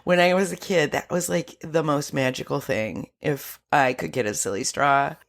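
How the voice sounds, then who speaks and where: A woman co-hosting a podcast in casual movie banter, giggly and animated, slipping into mock voices. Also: low voice, normal speed, gravelly. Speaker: podcast co-host.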